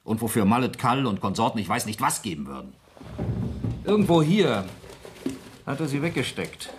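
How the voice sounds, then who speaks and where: An actor playing a loyal, seasoned, middle-aged police sergeant with pointed irony in a 1970s German radio play. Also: male voice, medium pitch, moderate pace, music in the background, natural voice. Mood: proud, pointed. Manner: expressive, ironically pointed. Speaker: actor in a radio crime drama.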